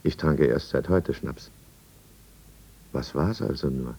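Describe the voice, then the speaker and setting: An actor playing a weary middle-aged businessman who narrates his decline in a 1950s German radio drama, measured and reflective. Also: extremely low pitch, animated, gravelly, mellow, slightly rough, full character voice. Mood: brooding, troubled.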